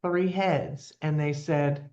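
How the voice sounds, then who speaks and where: A woman's voice: deep voice, steady tone, slow pace, warm tone, lo-fi sound. A woman in her seventies, a nonprofit founder talking as a guest in an earnest, plainspoken way with frequent ums. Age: 70s. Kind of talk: casual interview talk.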